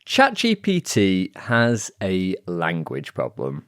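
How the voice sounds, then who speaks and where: Lively, expressive male podcast host. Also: takes it slowly, no room sound, clean studio sound. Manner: lively, expressive delivery with wide pitch swings. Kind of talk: scripted podcast narration.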